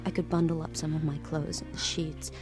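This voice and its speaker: An actress playing a captive handmaid in an audio drama, narrating in a hushed, intimate, quietly desperate way. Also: female voice, deep voice, little pitch movement, fairly quick, over music, natural voice. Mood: bleak, brooding. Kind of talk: dramatic first-person monologue in audio drama.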